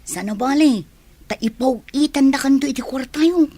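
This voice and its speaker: A woman's voice: sits high, moderate pace, dark tone, dry recording, lightly acted. A woman with an Ilocano accent playing a keen, reassuring young woman in a radio comedy drama.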